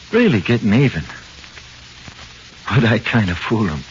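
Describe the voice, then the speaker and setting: An actor playing a frightened, slangy condemned convict with an American accent in a 1940s radio horror play, halting and pleading. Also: male voice, lowish voice. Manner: slangy, halting, swinging from flat confession to anxious pleading. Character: frightened condemned convict awaiting execution, pleading, uneducated tough. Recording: muffled, hissy 1940s radio recording.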